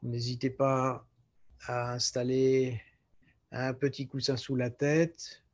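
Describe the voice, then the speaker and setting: Slow-paced man guiding relaxation. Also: mid-range voice. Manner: calm, measured, pausing between short phrases. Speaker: yoga nidra teacher guiding relaxation. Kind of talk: guided relaxation meditation instruction.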